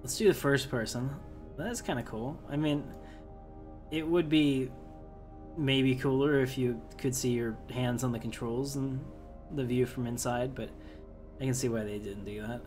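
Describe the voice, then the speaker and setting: A male gaming host with a medium-pitched voice, talking casually through his playthrough. He rambles in a chatty way, with light music underneath. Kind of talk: casual let's play commentary.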